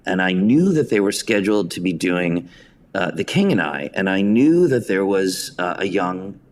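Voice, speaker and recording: A middle-aged American man from New Jersey tells anecdotes as an interview guest in a self-deprecating, halting way, acting out quotes. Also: low voice, very animated, slight background noise. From New Jersey, United States. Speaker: podcast guest, theater director and writer.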